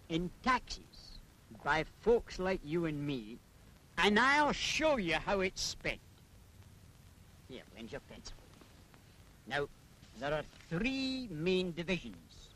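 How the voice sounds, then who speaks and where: A man's voice: sits high, very animated, takes it slowly, long pauses, pushed and bright, a little hiss or hum, exaggerated voice, rough recording. An actor playing a knowing Scottish man who lectures didactically in a theatrical 1940s public information film style. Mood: patiently explaining.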